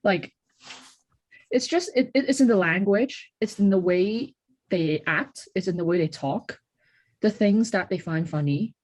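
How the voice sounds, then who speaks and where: A woman's voice: mid-range voice, unhurried, darkish tone, low-quality recording. A young woman from Hong Kong co-hosting a podcast, chatting casually and reflectively, often saying "like". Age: young adult. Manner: reflective, peppered with "like". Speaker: podcast co-host. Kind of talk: casual chat.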